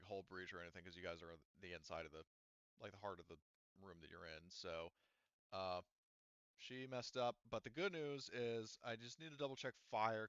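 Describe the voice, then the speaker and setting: A man running a tabletop roleplaying game in a loose, rambling way, swearing casually as he works out the rules. His voice sits a little low but sounds bright and crisp. The sound is dry and slightly dull. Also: dry recording. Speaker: game master.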